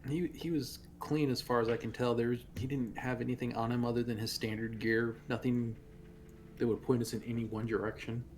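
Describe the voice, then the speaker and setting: A man's voice: medium pitch, little pitch movement, fairly quick, a little mellow, a little hiss or hum. A man playing a tabletop roleplaying game, talking in character in a hesitant, understated way.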